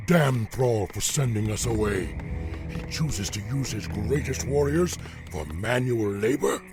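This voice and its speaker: A man playing a proud, bloodthirsty veteran orc chieftain in a video game, with a gravelly growl and a brooding, defiant manner. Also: medium pitch, expressive, a little slow, close to an everyday voice. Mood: resentful, scornful. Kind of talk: dramatic video game acting. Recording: music behind the voice.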